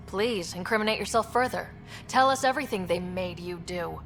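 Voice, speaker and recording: An actress playing a confident young expedition leader in a video game, decisive and wry. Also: female voice, lowish voice, fairly quick, fairly bright tone, soft background music.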